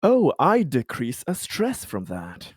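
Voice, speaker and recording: Animated man playing a tabletop game. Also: mid-range voice, slow, slightly rough, no room sound, studio quality. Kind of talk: casual game chat.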